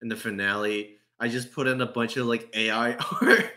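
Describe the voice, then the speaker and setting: A man chatting casually as a podcast member, in a halting way with frequent chuckles. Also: medium pitch, steady tone, pushed and bright. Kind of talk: casual group chat.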